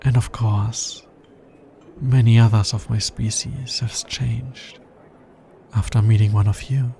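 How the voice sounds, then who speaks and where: A man playing a tender, earnest alien scientist in an intimate ASMR romance roleplay, soft-spoken and measured. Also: mid-range voice, steady tone, creaky, a little hiss or hum. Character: smitten male alien scientist, earnest, tender, fascinated by humans.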